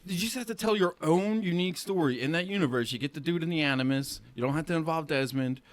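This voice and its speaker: A man's voice: on the high side, fast. A man co-hosting a podcast, giving opinionated casual commentary in an emphatic, slangy and blunt way. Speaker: podcast co-host.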